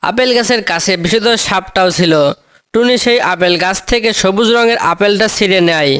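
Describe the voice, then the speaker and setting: High, clear-voiced male story narrator. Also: a bit bright. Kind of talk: scripted children's story narration.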